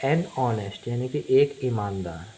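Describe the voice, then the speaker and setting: Indian guy, hindi speaker, teaching english real slow n deliberate, some noise in the background. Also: medium pitch, animated, warm tone, rough recording. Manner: deliberate, repetitive, explanatory. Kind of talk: bilingual language lesson, phrase by phrase.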